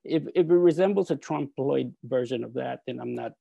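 A man in his forties from El Salvador, an artist talking over a video call from his studio, halting with many fillers and restarts. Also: medium pitch, creaky, warm tone, slightly rough, no room sound, lo-fi sound. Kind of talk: video call talk with slides. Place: home art studio. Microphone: earphone mic on video call.

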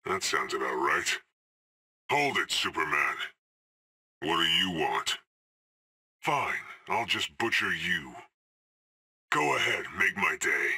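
A man's voice: low voice, expressive, takes it slowly, creaky, long pauses, sharp tone, slightly rough, exaggerated voice. An actor playing a cocky, cool male hired assassin in a video game, trading dry, sardonic one-liners.

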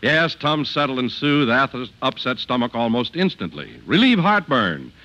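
A male announcer from 1950s radio with a medium-pitched, bright and punchy voice. He delivers scripted copy like a polished salesman. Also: big pitch swings, normal speed, muffled recording.

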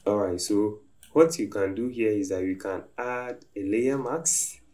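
A man calmly talking through a design software tutorial step by step as he works at his computer. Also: fairly low pitch. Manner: slow, step-by-step instructive talk. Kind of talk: screen-recorded software tutorial narration.

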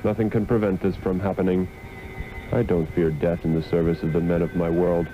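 A man's voice: low voice, level tone, a little fast, natural voice. An actor playing an earnest, troubled master magician in a 1960s radio drama, formal and brooding in his delivery. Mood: resolute questioning. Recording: muffled old radio recording with music.

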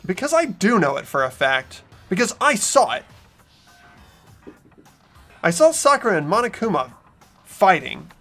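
Man as a bright, high-pitched earnest boy. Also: very animated, measured pace, long pauses, faint music behind, exaggerated voice. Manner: earnest, insistent. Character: earnest, trusting teenage boy student protagonist. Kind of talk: fan voice-over of a visual novel game.

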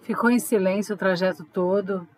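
A woman's voice: medium pitch, fairly even intonation, normal speed, close to an everyday voice. A woman playing a concerned, questioning love interest in an audio drama, coaxing and inquisitive.

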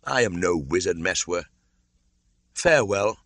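A man's voice: low-pitched, expressive, unhurried, fairly bright tone, dry recording, full character voice. A man voicing a bold, defiant boy raised by wolves in an audiobook, with a commanding, scornful way of calling out. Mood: firm, urgent.

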